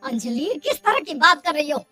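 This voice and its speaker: A woman's voice: high-pitched, big pitch swings, normal speed, pushed and bright, soft background music, full character voice. A woman playing a nagging, scolding middle-aged mother in a cartoon family drama. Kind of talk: Hindi cartoon dialogue.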